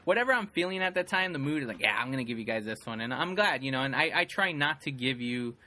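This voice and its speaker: Bright-voiced man rambling casually. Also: mid-range voice, animated, fast. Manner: rambling, many restarts and fillers. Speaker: podcast co-host. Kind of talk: casual chat.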